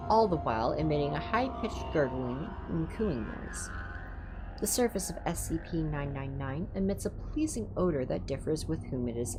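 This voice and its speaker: Female ASMR story reader, low register with lively intonation, measured clinical delivery for a horror livestream. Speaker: livestream host reading horror stories. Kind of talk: ASMR reading of scripted entries. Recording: music behind the voice. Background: background music.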